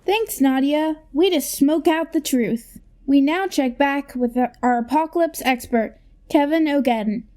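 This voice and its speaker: A woman's voice: high-pitched, unhurried, a little mellow. A woman playing a chirpy TV news anchor in a parody newscast for a radio play. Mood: upbeat, polished.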